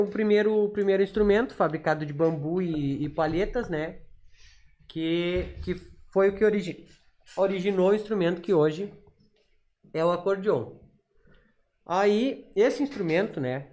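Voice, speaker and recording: Southern Brazilian man, halting explainer. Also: sits high, a little mellow. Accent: southern Brazilian, Rio Grande do Sul. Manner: halting, repeats words while recalling. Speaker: podcast guest. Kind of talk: informal explanation in a podcast interview.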